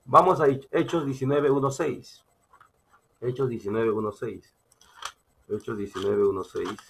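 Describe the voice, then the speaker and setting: A man with a Peruvian accent preaching a sermon, emphatic and halting, repeating phrases as he teaches from scripture. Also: mid-range voice, fairly even intonation, creaky, fairly bright tone, slight background noise. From Peru. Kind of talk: sermon with Bible reading and personal testimony.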